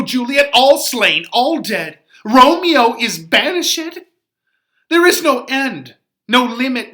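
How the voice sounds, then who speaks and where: A man performing a distraught, passionate teenage bride in a melodramatic, drawn-out Shakespeare reading. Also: very high-pitched, pushed and bright, voice pushed up, exaggerated voice.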